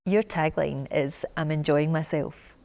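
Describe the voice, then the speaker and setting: A woman's voice: low voice, a little slow, gravelly, a little mellow. A woman working as a TV news reporter, narrating from a script and interviewing.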